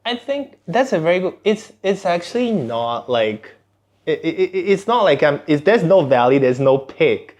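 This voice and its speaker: A man's voice: a little high, sing-song, moderate pace, a little mellow. A man speaking casually as a guest in a podcast interview, often restarting his phrases. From born outside Singapore, Chinese cultural background. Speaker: podcast guest, tech executive.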